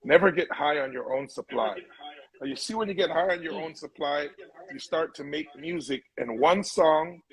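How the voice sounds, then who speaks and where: A man's voice: fairly high pitch, moderate pace, crowd noise, low-quality recording. A middle-aged man from Brooklyn with a Jamaican accent, giving conversational advice as an interview guest in an emphatic, preachy way. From Brooklyn, New York. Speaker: interview guest, musical elder.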